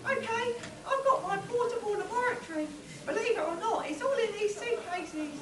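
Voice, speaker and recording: Woman as very high-pitched scrappy adventurer. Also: moderate pace, a crowd in the background. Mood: eager, pugnacious. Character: scrappy, pugnacious male chemist itching for a fight. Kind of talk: live spoof radio play. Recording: distant mic in echoey hall, audience noise.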